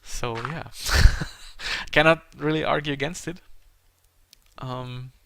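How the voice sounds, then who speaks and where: Halting, gravelly German-accented man. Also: medium pitch, steady tone, sharp tone, no echo. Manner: hesitant, halting, chuckles at himself. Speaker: Let's Play gaming video host. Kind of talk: casual rambling chat while gaming.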